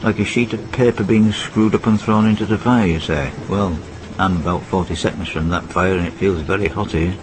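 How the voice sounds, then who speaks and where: Deep-voiced, weary train driver, played by an actor. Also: male voice, background noise, close to an everyday voice. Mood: grim, resigned. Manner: reflective, addressing absent friends, measured phrasing. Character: weary veteran railwayman train driver, fatalistic, fond, reflective. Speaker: actor in a radio play. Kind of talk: radio drama monologue.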